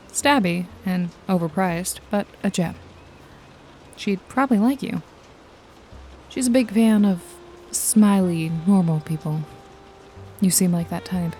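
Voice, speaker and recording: A woman's voice: lowish voice, dark tone, poor audio. A woman playing a wry, jaded detective and hired killer in a noir ASMR roleplay, nonchalant and teasing with a casually menacing edge. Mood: dry, sardonic. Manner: sardonic, foul-mouthed, nonchalant, teasing. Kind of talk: noir ASMR roleplay, intimate one-sided dialogue to listener. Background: jazzy music and rain effects.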